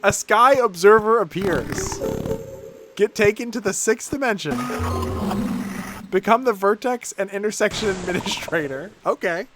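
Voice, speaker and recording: A man's voice: high-pitched, expressive, unhurried, loud music behind the voice. A man streaming a video game, reading its text aloud and reacting live in an irreverent, sweary, amused way.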